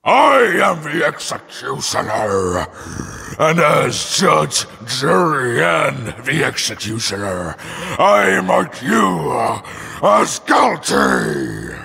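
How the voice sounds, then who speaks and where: A man voicing a self-righteous executioner villain, bellowing grandiose judgments in a rough shout. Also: a little high, wildly swooping pitch, extremely slow, long pauses, exaggerated voice. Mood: grandiose, condemning. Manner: grandiose pronouncements.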